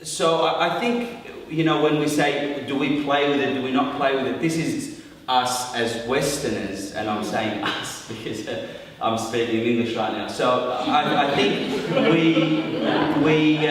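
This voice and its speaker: A man's voice: mid-range voice, steady tone, measured pace, a little hiss or hum. A man from Iran, a workshop participant, talking thoughtfully in a group discussion with many restarts. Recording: echoey hall from far off.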